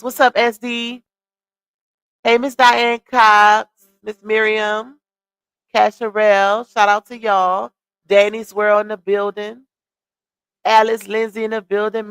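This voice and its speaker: A woman's voice: medium pitch, a bit bright, smooth, no room sound, low-quality recording. Chatty woman hosting a gossip live stream. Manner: chatty, reads out comments and greets viewers. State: losing her voice.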